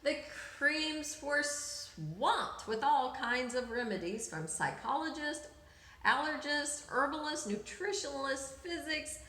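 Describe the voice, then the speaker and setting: A woman reading a picture book aloud for children, with dramatic, deliberate emphasis, in an echoey room. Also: high voice, expressive, sharp tone. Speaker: children's story reader.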